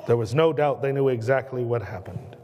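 A man in his thirties with an American accent, preaching a sermon from the pulpit in earnest, slowing and dropping his voice on key words. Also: darkish tone. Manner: earnest preaching, slows and drops low on key words, gestures a lot. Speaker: pastor. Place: church sanctuary at a pulpit. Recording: long church reverb.

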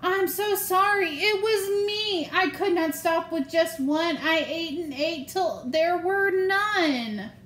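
High-voiced apologetic storybook toad read by a woman. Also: takes it slowly, hardly any pauses, fairly bright tone, exaggerated voice. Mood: sheepish. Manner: apologetic, confessing. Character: guilty, greedy grumpy male toad in a storybook. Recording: roomy home recording.

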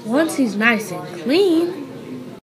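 A woman reading a children's picture book aloud, deliberately and phrase by phrase. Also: sits high, very animated. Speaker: storybook reader.

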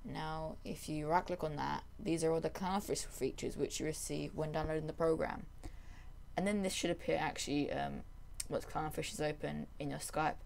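A young adult presenter giving a casual software tutorial in a voice-over. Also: medium pitch, steady tone, moderate pace, vocal fry, slight background noise.

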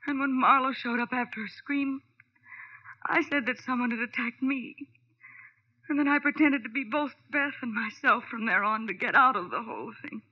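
An American woman playing a guilty, desperate young nightclub performer in emotional 1940s radio melodrama, her voice husky and breathy. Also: a little high, normal speed. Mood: confessing, tense. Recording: tinny, narrow old radio recording.

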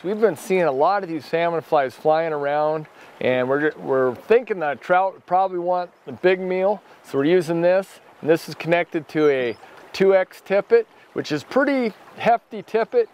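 A man's voice: fairly high pitch, a little mellow. A man in his forties hosting a fishing vlog from a drift boat, exuberant, whooping and laughing, on a lapel mic outdoors. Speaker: fishing show host. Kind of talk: excited outdoor vlog chat.

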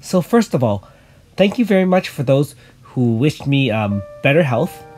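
A man with a slightly raspy voice from illness, chatting casually over game footage as a YouTube host, with many fillers. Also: medium pitch, big pitch swings, moderate pace, a little mellow, soft background music. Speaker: gaming YouTuber.

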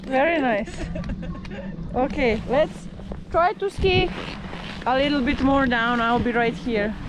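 A woman ski instructor calmly coaching a beginner outdoors on a ski slope, giving short encouraging instructions. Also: high voice, takes it slowly, background noise. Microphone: action camera worn by the learner.

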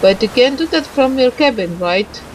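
A woman doing let's play commentary, reading game dialogue aloud haltingly and adding muttered asides. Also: a little high, lively intonation, a little hiss or hum, rough recording.

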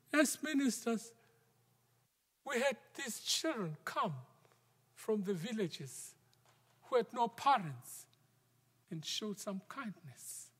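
A middle-aged male minister preaching a sermon from the pulpit, in a measured style that sometimes drops to a near whisper for emphasis. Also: very high voice, fairly bright tone. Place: large wooden church sanctuary, at the pulpit. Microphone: pulpit microphone. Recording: long church reverb.

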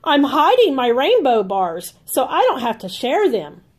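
Earnest, high-pitched little cartoon bear read by a woman. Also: big pitch swings, measured pace, breathy edge, heavily acted.

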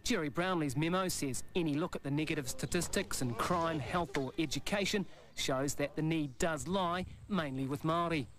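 Kiwi male reporter doing a news voiceover, fairly high voice. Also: moderate pace, a bit bright. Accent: New Zealand. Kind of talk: scripted report narration.

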